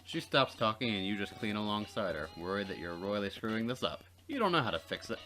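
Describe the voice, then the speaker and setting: A man reading a visual novel aloud as a Let's Play narrator, expressive and playfully dramatic. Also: lowish voice, fast, faint music behind.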